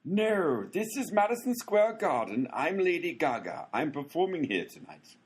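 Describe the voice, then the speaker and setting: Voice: medium pitch, big pitch swings, moderate pace, husky. A performer playing a sarcastic, impatient postal clerk with a flippant, theatrical delivery in a radio comedy. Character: sarcastic, cranky postal clerk, one of conjoined twins.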